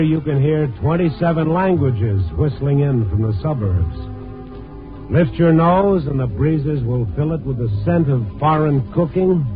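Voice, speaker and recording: A tinny old radio broadcast with music behind a man playing a world-weary newspaper reporter. He has a medium-pitched voice and a terse, wry, hard-boiled delivery from 1950s radio drama. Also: natural voice.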